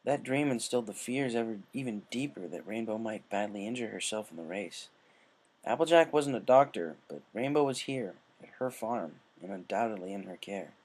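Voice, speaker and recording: A man's voice: lowish voice, expressive, moderate pace, darkish tone, low-quality recording. A man reading a story aloud as scripted narration. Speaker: story reader. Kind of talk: scripted narration of fan fiction.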